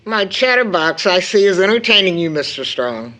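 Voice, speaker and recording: An actress playing a fond, tired, gently chiding mother in an audio drama, with a measured way of talking. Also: fairly low pitch, big pitch swings, poor audio. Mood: polite, fond. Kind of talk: stage-play audio drama acting.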